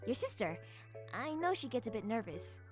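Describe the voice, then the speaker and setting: A woman's voice: on the high side, very animated, moderate pace. A woman voicing a hyper, caring young female best friend in a visual novel, perky and affectionate, with music behind. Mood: gentle, caring. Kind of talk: visual novel character read-aloud.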